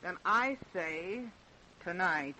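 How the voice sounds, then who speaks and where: An actress playing a scheming, impatient wife in a radio drama, speaking with insistent, scornful urgency. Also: female voice, lowish voice, expressive, slow pace, sharp tone, exaggerated voice, low-quality recording. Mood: intense, satisfied. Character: scheming, impatient wife plotting her husband's murder. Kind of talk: old-time radio drama acting.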